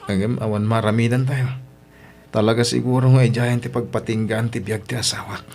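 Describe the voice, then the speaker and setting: A man's voice: mid-range voice, fairly even intonation, fairly quick, creaky, darkish tone, close to an everyday voice. This is a man playing a young Ilocano husband who loses his wife and raises his daughter alone. His mid-range voice carries heavy emotion and breaks when he grieves. The tone fits a heartfelt family radio drama. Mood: grieving. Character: young husband James, later a widowed father.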